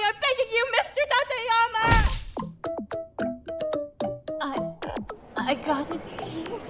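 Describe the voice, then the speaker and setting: A woman's voice: extremely high pitch, pushed and bright, heavily acted. A woman playing an earnest, scatterbrained teenage schoolgirl in an anime fan dub, naive, over-confident and melodramatic. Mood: pleading, dismayed. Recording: music behind the voice.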